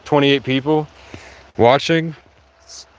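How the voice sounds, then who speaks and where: A sleep-deprived man livestreaming outdoors in a rural area, rambling in a halting way with many restarts. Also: medium pitch, fairly even intonation, a little hiss or hum, low-quality recording. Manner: halting, restarts often, heavy use of "like". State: sleep-deprived after hours of talking. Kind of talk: rambling casual monologue.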